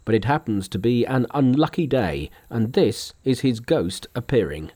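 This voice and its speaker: A man's voice: mid-range voice, expressive, moderate pace, mellow, natural voice. A man voicing blustering royal officials in a storybook. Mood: dismissive. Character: blustering royal officials reporting to their king.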